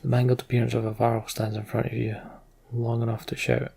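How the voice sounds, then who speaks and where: A man's voice: mid-range voice, completely flat delivery, fairly quick, vocal fry, mellow, breathy edge. A man giving casual commentary and story narration as host of a video game playthrough. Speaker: let's play host.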